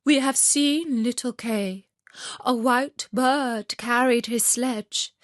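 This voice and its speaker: A woman plays a storybook wood pigeon, gentle and mournful. The voice is lifted and slow, with long cooing words drawn out for young listeners. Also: a little high, no echo, studio quality, pitched above the performer's own voice. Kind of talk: children's fairy tale audiobook.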